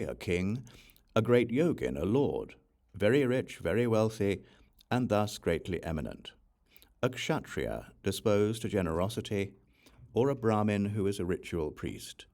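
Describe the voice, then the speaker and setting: A man with a low voice reads a scripted religious text as an audiobook narrator. His delivery is measured and formal, with lively rises and falls in pitch as he recites. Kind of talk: scripted reading of a Buddhist scripture.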